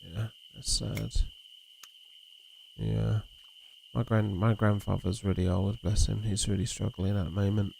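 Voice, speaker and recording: A man with a gravelly, low voice chats easily to his viewers while streaming a fishing game. His tone is mellow and rambling, and a faint outdoor hum sits under his voice. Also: a little slow, low-quality recording. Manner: relaxed, rambling, talks to chat and reads messages aloud. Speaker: livestream host.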